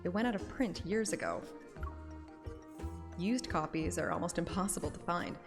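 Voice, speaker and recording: A woman's voice: on the low side, animated, normal speed, over music. A woman livestreamer with a playful, chatty manner, talking casually and reading a game story aloud. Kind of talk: casual stream chat and expressive game read-aloud.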